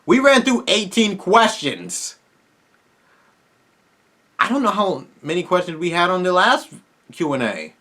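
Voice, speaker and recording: A man with a somewhat high voice talks straight to his viewers, closing out a casual Q&A. He sounds tired and his voice is strained. He rambles in an eager, fan-like way and often restarts his sentences. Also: very animated, a little slow, long pauses, pushed and bright. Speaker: YouTuber host.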